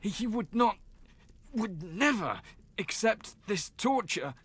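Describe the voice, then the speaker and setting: A man playing a wounded, disillusioned soldier of a religious order in a video game, earnest and pleading with halting, laboured delivery. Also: high voice, big pitch swings, a bit bright, slightly rough, full character voice. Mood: anguished, earnest. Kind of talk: dramatic video game voice acting.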